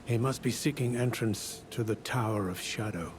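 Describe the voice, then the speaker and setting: An actor playing a courteous, world-weary old knight turned scholar in a video game, speaking in formal, measured, archaic phrasing. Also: male voice, mid-range voice, noisy background, lightly acted. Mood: grave wonder. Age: older. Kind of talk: video game character dialogue.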